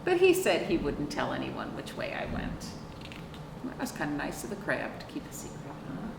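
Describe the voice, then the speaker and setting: Middle-aged woman, children's librarian read-aloud: expressive and swooping, chatty asides, picked up by a far mic in an echoey room. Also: low voice. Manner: expressive, drawn-out emphasis and questions to young listeners. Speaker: children's librarian hosting storytime. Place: small indoor room, seated on a couch. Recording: roomy, distant mic with clear background noise.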